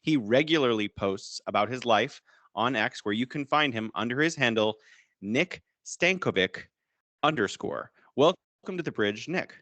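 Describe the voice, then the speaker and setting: A man's voice: medium pitch, lively intonation, a little slow, a bit bright, dry recording. A man hosting a podcast interview, opening with a scripted welcome and asking his guest questions. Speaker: podcast host.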